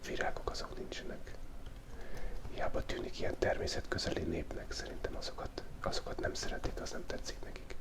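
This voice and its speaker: A man's voice: very high-pitched, completely flat delivery. A man whispering through a playful ASMR drawing session, thinking aloud as he sketches. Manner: soft unvoiced whisper throughout.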